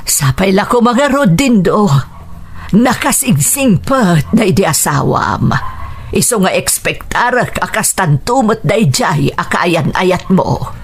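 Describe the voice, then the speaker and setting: A woman's voice: mid-range voice, animated, slow, husky, exaggerated voice. An actress with an Ilocano accent playing a strict but kindly older mother, doubtful and protective, in a radio drama. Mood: worried, stern.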